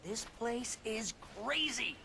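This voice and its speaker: An actor playing a talkative, excitable, loyal male buddy in a video game cutscene. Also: very high-pitched, takes it slowly, heavily acted. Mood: excited. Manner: chatty, emphatic. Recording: clear background noise and ambience.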